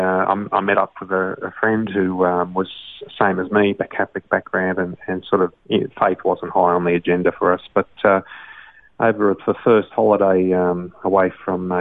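An Australian man talks through his story in an interview, stopping and restarting often and filling pauses with "uh". His voice sits low and gravelly. It comes through a dry, narrow, phone-like line. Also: no room sound. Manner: hesitant, many uh fillers. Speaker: guest, doctor and songwriter. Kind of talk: casual interview.

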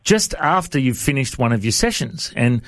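A man's voice: medium pitch, sing-song, normal speed, vocal fry, no room sound, clean studio sound. A man in his forties with an Australian accent, hosting a conversational podcast interview. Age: 40s. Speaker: radio interview host.

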